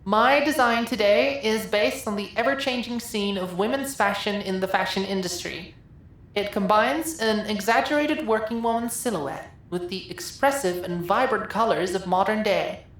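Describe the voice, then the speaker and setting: A woman's voice: medium pitch, moderate pace, a bit bright, echoey room, voice pushed up, natural voice. A woman voicing an anxious, polite young fashion student, earnest and careful, in an audiobook drama. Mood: poised, presenting. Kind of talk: audiobook character acting.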